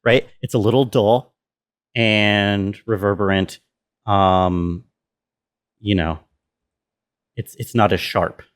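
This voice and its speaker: A man's voice: lowish voice, fairly even intonation, takes it slowly, long pauses, clean tone. Man casually walking through sound design. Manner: explanatory, checks in with listener. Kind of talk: casual tutorial walkthrough.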